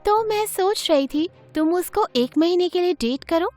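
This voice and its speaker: A woman voicing a scheming, popular teenage girl who talks in a pleading, persuasive way in an animated story. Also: extremely high pitch, normal speed, pitched above the performer's own voice.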